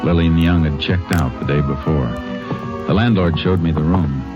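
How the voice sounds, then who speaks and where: Deep-voiced, terse lawman. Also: normal speed, over music. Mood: probing. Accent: American. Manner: terse, businesslike questioning. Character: brisk, commanding male Texas Ranger lawman. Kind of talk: 1950s radio acting. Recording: dull 1950 radio broadcast.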